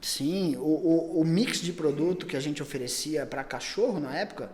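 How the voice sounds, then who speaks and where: Chatty man with a slightly high voice. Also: moderate pace, a little mellow, long reverb tail. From Brazil. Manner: talks at length, many repeated words and restarts. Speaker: pet store chain owner, guest. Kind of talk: casual podcast conversation.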